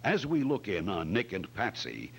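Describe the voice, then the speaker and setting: A man announcing in old-time radio style, with a theatrical, emphatic sponsor-pitch delivery. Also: huge pitch swings, normal speed, vocal fry, a bit bright, slightly rough.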